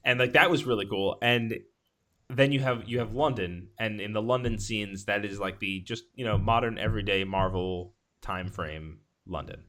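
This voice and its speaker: A man with a fairly low voice talks casually as a podcast host. He rambles enthusiastically through his thoughts, in the loose style of a movie review chat. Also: moderate pace, a bit bright.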